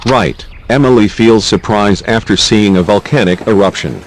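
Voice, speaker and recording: A man's voice: lowish voice, moderate pace, a little hiss or hum. A man reading scripted narration for a children's quiz video, with simple prompts in non-native English phrasing.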